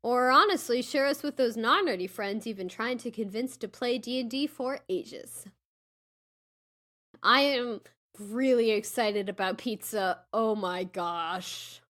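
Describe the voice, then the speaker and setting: Enthusiastic, higher-voiced woman podcast host. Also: a little slow, fairly bright tone. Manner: enthusiastic, emphatic. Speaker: podcast host and player in a tabletop game. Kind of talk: casual banter on a tabletop game podcast.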